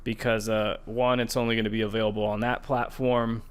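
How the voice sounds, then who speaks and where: A man's voice: fairly low pitch, level tone, fairly quick, smooth. A man co-hosting a gaming podcast, talking things over in a casual, conversational style. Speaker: podcast co-host.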